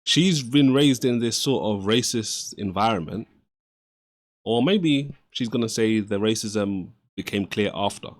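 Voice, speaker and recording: Male reaction commentator, low voice, earnest and measured, dry close sound. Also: no room sound.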